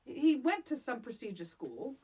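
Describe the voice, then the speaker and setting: A woman with a fairly high voice that swings widely in pitch, talking casually about a film as a podcast co-host. She is emphatic and exasperated, hammering her points by saying them again. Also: big pitch swings, moderate pace, a little mellow, husky.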